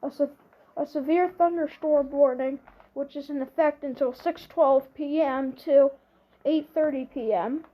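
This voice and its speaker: A woman delivering an improvised mock emergency alert announcement in a halting way, often repeating herself and restarting. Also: high voice, muffled recording.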